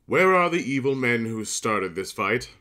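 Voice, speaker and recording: An actor playing a stern, authoritative ruling prince in a modernized Shakespeare audio drama. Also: male voice, medium pitch, very animated, a little slow, sharp tone.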